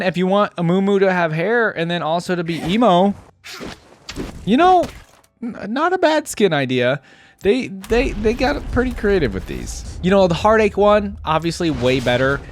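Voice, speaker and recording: Casual male reactor, animated swings in pitch. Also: moderate pace, a little hiss or hum. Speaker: reactor, video creator. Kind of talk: casual off-the-cuff reaction commentary.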